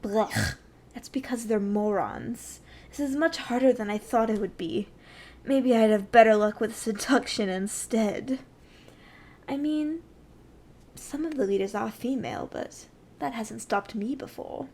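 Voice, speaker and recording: A woman's voice: fairly high pitch, a little slow, a little mellow, slight background noise. A woman playing a haughty, condescending evil sorceress queen in an ASMR roleplay, with an imperious, theatrical and mocking delivery. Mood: contemptuous, then exasperated, musing.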